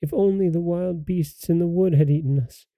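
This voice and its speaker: A man's voice: on the high side, normal speed, dry recording, narrow, tinny audio, voice pushed up, close to an everyday voice. A man voicing an earnest young girl, the little sister in a storybook fairy tale. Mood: despairing. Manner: pleading, earnest.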